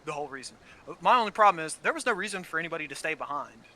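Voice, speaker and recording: Man, higher-pitched, very sharp forceful tone, casual gaming chat, opinionated, swears freely, stammered repeats. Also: animated, very fast. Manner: frequent swearing, heated and opinionated. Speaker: gaming channel co-host. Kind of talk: casual gameplay chat about story.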